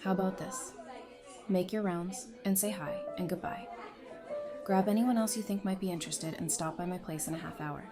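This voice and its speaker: A woman's voice: on the low side, fairly even intonation, moderate pace, over music, natural voice. A woman playing a friendly, easygoing survivor in an audio drama, chatty, informal and reassuring. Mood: upbeat casual. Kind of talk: fan audio drama acting, casual dialogue.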